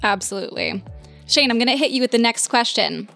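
A woman's voice: on the high side, lively intonation, a little fast, gravelly, a bit bright. A woman hosting a dating podcast, upbeat and playful, with faint music behind. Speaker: host.

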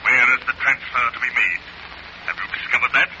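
A man's voice: medium pitch, a little fast, bright, forceful tone. An actor playing a cold, commanding male criminal mastermind, curt and imperious, in 1940s radio style. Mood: guarded, brisk. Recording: muffled old radio recording, clear noise.

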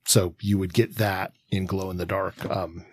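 A man co-hosting a podcast in a conversational, analytical way, halting and circling as he thinks aloud. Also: low-pitched, little pitch movement, breathy edge. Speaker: podcast co-host. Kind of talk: conversational comics analysis, sometimes reading quotes.

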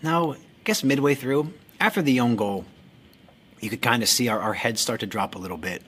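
A man's voice: medium pitch, a bit bright, husky. A man hosting a soccer fan podcast, talking frankly about his club's loss with jokes and swearing. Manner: frank, joking, swears freely. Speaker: podcast host. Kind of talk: casual fan podcast monologue.

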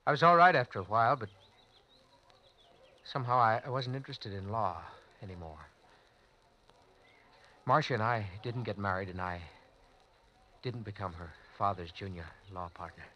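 A man's voice: on the low side, expressive, moderate pace, pauses often, muffled recording, slight background noise, lightly acted. A man playing a young former law student who breaks into a murderous frenzy, in a 1940s radio drama. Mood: haunted, subdued. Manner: quiet haunted telling that erupts into screaming threats.

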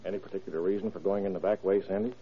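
A fast-talking male detective sidekick, played by a radio actor with a fairly low voice. He is blunt, skeptical and wry, and sounds muffled on an old narrow-band broadcast recording. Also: steady tone, over loud music, lightly acted. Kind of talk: old-time radio drama acting.

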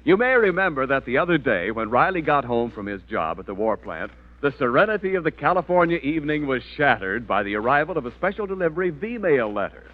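Animated American vintage radio announcer, male. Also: mid-range voice, fairly quick, few pauses. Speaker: announcer and narrator. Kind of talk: 1940s radio announcing, scripted narration. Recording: muffled vintage radio recording.

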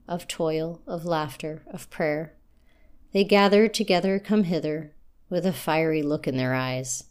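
A woman with a notably deep voice reads her own rhyming poem. Her delivery is lilting and sing-song, following the bounce of the verse. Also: a little mellow. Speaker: poet reading her own work. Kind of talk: poetry reading.

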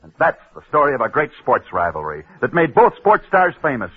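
A man's voice: mid-range voice, huge pitch swings, a little fast, slightly rough. A man hosting a sports story show, telling tales and reading ads in a punchy, dramatic 1940s radio style. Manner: dramatic, punchy delivery with sudden drops. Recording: tinny old radio broadcast.

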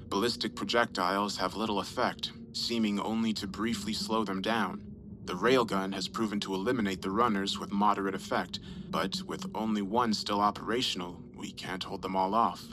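A man voicing a steady, commanding expedition crew leader with terse delivery in a horror story. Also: low-pitched, close to an everyday voice. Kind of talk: horror story character dialogue. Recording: clearly noisy background.

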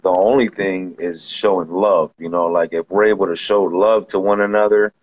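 A man's voice: deep voice, steady tone, moderate pace, a little hiss or hum, rough recording. A man from Southern California hosting a podcast in easygoing casual chat, often repeating himself and saying "you know". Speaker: podcast host.

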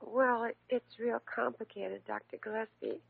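A husky, worried woman patient. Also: a little high, steady tone, moderate pace, no echo, full character voice. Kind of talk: 1940s radio acting. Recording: tinny old radio recording.

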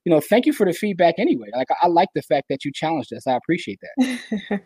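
A man's voice: a little high, sing-song, fast talker, dark tone, slightly rough, dry recording. A man hosting a podcast interview, chatty and casual, filling his talk with "like" and "you know". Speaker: podcast host.

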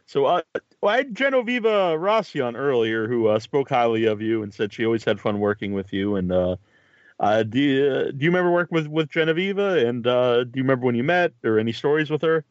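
Halting male host, lively pitch. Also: dry recording. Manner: halting questions with many fillers. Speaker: podcast host. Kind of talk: casual interview chat. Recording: dull lo-fi dry sound.

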